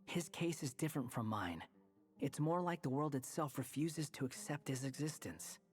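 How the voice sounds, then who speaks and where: An actor playing an aloof, sardonic young wandering scholar in a video game, curt and sharp-tongued. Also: male voice, on the high side, normal speed, natural voice. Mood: serious, brisk.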